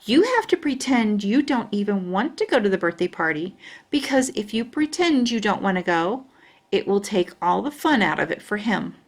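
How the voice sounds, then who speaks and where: A woman voicing a caring, patient mother in a storybook, coaxing and questioning gently. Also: medium pitch, expressive, moderate pace. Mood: reassuring, coaxing.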